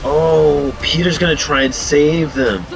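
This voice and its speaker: Hoarse young man reacting excitedly. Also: fairly high pitch, noisy background. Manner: excitable, rapid exclamations. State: hoarse from yelling. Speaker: trailer reaction host. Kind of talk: casual reaction commentary.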